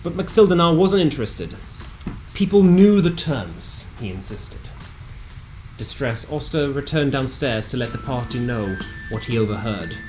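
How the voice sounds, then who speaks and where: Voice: medium pitch, animated, normal speed, darkish tone, a little hiss or hum, rough recording. A narrator reading a scripted recap of a tabletop fantasy game in a theatrical storytelling manner with wry asides. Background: music.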